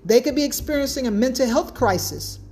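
High-voiced woman hosting a talk podcast. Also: expressive, brisk, dark tone. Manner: repetitive, emphatic, starts points with "now" and tags "right?". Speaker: podcast host.

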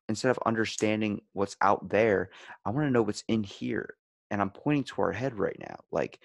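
A man's voice: lowish voice, no room sound. A man in a casual podcast chat, rambling as host and animatedly telling stories, acting out the lines he quotes.

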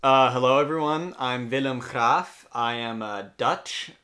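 A man playing a hesitant Dutch cold-therapy guide, a guest on a mock health podcast who keeps pausing with ums and uhs. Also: medium pitch, sharp tone. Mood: earnest.

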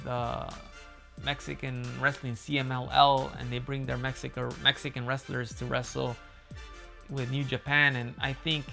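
A man talking casually and at length as a wrestling fan, with a loud booming voice, full of restarts and fillers. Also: vocal fry. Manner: rambling, repeats himself, many fillers and restarts. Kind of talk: casual monologue.

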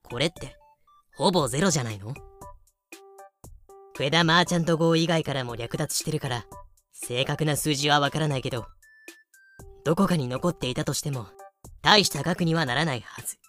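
A woman's voice: deep voice, fairly quick, long pauses, a bit bright. A woman acts a knowing, plain-spoken female character in an amateur audio drama. She explains in a dry, matter-of-fact way, with faint music behind her. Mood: dry, explaining. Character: frank, knowing woman explaining history to a sidekick.

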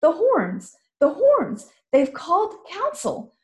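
A woman's voice: extremely high pitch, wildly swooping pitch, slow, highs cut off, voice pushed up, exaggerated voice. A woman voicing a bold young princess girl, exclaiming breathlessly. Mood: alarmed. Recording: roomy echo.

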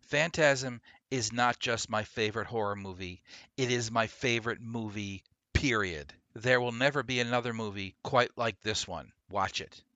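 A man hosting a podcast, delivering a scripted monologue and review with emphatic, deliberate delivery. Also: medium pitch, bright, forceful tone, no echo, faint music behind. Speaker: podcast host. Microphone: close, dry podcast microphone.

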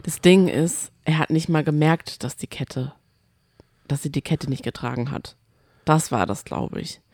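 Low-voiced woman just chatting on a podcast, really dry close sound. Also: measured pace, darkish tone, no room sound. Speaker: podcast co-host. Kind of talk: casual chat about reality TV.

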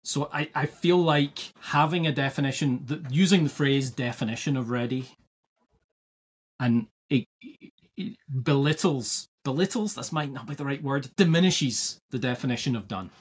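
A Scottish man with a husky, slightly breathy voice at a middle pitch, talking through ideas on a podcast. He is thoughtful and casual, often restarting a phrase while he hunts for the right word. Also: moderate pace. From Scotland. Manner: thoughtful, restarts and searches for words. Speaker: podcast co-host.

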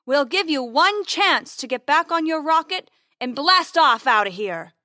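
A woman puts on a villainous gang boss in an audio space adventure. He bawls his threats in a high, pushed voice, loud and menacing. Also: expressive, normal speed, no room sound, clean studio sound. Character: tall, bawling male poacher gang leader, menacing villain.

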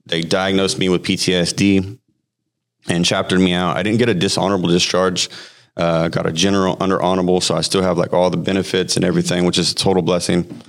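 Deep-voiced man in his thirties, podcast guest sharing his testimony; loose and halting, lots of fillers. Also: flat delivery, creaky. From Hawaii. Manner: halting, frequent fillers and restarts. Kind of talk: personal testimony, conversational storytelling.

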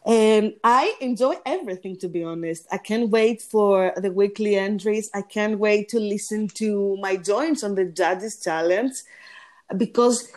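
A woman with a deep, gritty voice, a singing competition judge talking in a casual interview and pausing often on fillers. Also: a little mellow. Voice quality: deep voice with grit. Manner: hesitant, pauses with fillers.

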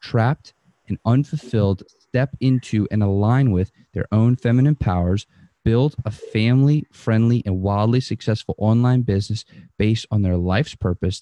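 A man's voice: fairly low pitch, warm tone, no room sound. A man hosting a podcast, reading a prepared introduction of his guest and asking questions. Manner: formal, reads a prepared intro. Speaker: podcast host. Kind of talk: scripted guest introduction then interview questions.

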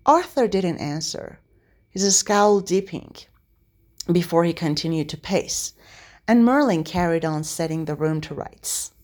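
A woman reading a story aloud as narrator, in an expressive scripted style. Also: on the low side, measured pace. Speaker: podfic narrator.

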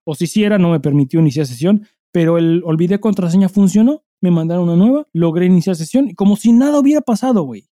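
Mexican man, very mellow tone, slightly high pitch, casual podcast host, slangy, sweary. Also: lively intonation, fast talker, hardly any pauses, no echo, studio quality. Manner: informal, heavy slang and swearing. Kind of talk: casual conversational storytelling.

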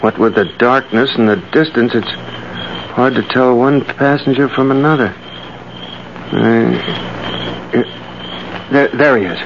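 A man's voice: medium pitch, a little slow, long pauses, natural voice. An actor playing a weak-willed, anxious businessman who stammers and hesitates, in 1940s radio drama style. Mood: uneasy, doubtful. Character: weak-willed, nervous businessman under a schemer's thumb. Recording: noisy, muffled old radio recording.

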